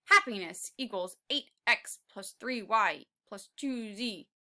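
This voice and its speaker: Woman voicing a slow, scholarly dinosaur dad. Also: medium pitch, animated, bright, forceful tone, voice pushed down, full character voice. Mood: pedantic. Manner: measured, didactic. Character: big, serious, scholarly father T. rex.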